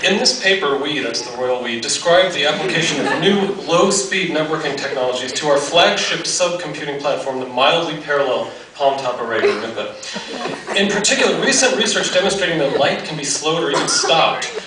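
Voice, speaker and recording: Deadpan man in a very echoey, distant auditorium. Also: on the high side, brisk, a crowd in the background. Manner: mock-formal, deadpan academic delivery. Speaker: researcher presenting a paper. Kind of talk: reading a mock-scientific paper aloud at a comedy talk. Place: large dark auditorium, on stage. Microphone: podium gooseneck, captured by distant audience camera. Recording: echoey auditorium from far off, noisy.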